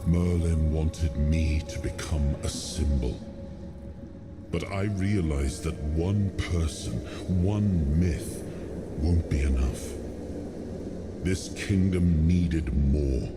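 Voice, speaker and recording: A man voicing the spirit of a legendary king, speaking slowly and solemnly, with loud game music behind. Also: very deep voice. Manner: slow, solemn, brooding. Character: ghostly legendary king, grave and resolute.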